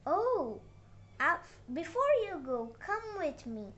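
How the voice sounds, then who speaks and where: A woman's voice: extremely high pitch, very animated, slow pace, a bit bright, dull audio, pitched above the performer's own voice, heavily acted. A young girl voicing a kindly female pumpkin fairy in a storybook, welcoming and instructing. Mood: inviting. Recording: noisy room with background ambience.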